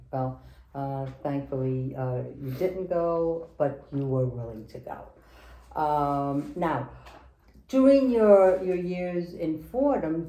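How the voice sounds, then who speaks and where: A woman's voice: sits low, dark tone, reverberant, rough recording. A woman judge hosting a formal interview, gracious and formal with some hesitant fillers.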